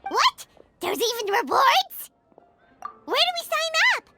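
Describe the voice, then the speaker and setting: A woman voicing a tiny, excitable fairy-like girl companion who talks about herself in the third person. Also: very high voice, slow, music in the background, exaggerated voice. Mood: chirpy. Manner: bubbly, exclaiming, speaks of herself in third person. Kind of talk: game cutscene voice acting.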